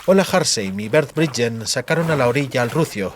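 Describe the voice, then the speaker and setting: A man's voice: mid-range voice, expressive, brisk, slight background noise. A man reading a fantasy story as a dramatic scripted audiobook narration.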